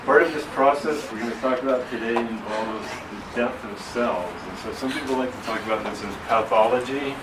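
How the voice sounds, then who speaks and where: A soft-spoken middle-aged man giving an informal classroom lecture, asking the room questions as he goes. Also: mid-range voice, fairly quick, hardly any pauses. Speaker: orthodontics lecturer. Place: lecture hall. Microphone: room mic from a distance. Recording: echoey, distant, noisy room recording.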